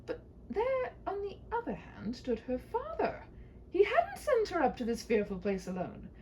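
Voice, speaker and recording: A woman's voice: very high voice, wildly swooping pitch, normal speed, mellow, slight background noise, rough recording. A woman reading a humorous story aloud as a storyteller, whimsical, with conversational asides.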